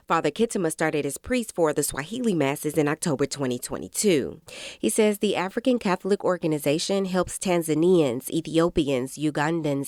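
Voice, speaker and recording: A woman's voice: low-pitched, a little mellow, no echo, studio quality. A woman reporter reading a scripted radio news feature.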